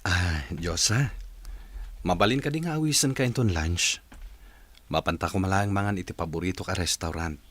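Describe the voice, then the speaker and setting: An actor in a radio drama playing an earnest young man courting a woman, gentle and emotive. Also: sits low, moderate pace, long pauses, dry recording. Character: earnest young suitor, Dindo. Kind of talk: broadcast radio drama acting.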